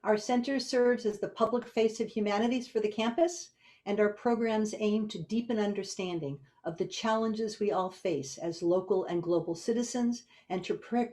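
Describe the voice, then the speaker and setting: A woman speaks as an event host and director, giving a formal, prepared welcome in a measured way at an online webinar. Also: medium pitch, mellow. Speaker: humanities center director introducing an event.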